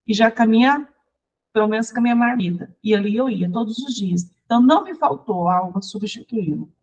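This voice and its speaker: Brazilian lady songwriter chatting away in an interview, kinda high voice, lively. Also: fairly quick, slightly rough, rough recording. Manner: lively, chatty, restarts and laughs while recounting. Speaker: interview guest, songwriter and teacher. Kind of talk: personal storytelling in conversation.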